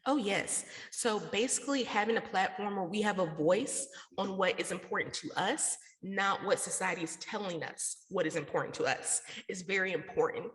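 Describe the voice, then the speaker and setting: A woman's voice: mid-range voice, moderate pace, few pauses. A woman answering interview questions conversationally, candid and casual, explaining herself with lots of "like". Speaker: interview guest, podcaster and radio station founder. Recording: echoey room with long reverb.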